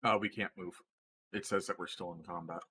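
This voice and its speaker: A man's voice: sits low, steady tone, a little fast, pushed and bright. A man chatting casually as a player in a tabletop game. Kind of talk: casual chat.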